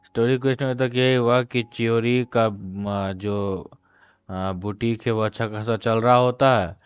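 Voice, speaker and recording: A man's voice: medium pitch, fairly even intonation, rapid-fire, smooth, no echo, muffled recording, faint music behind. A man with an Indian accent, a native Hindi speaker, commenting casually on a game live stream. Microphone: live-stream microphone.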